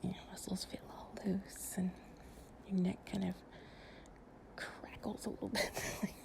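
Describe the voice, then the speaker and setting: A woman chatting casually and intimately to the listener in an improvised relaxation roleplay, softly and breathily with drops into whispering, in a playful, sleepy, confiding way. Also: mid-range voice, level tone, unhurried. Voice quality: soft, breathy, often dropping to a whisper. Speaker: audio roleplay performer playing a loving companion.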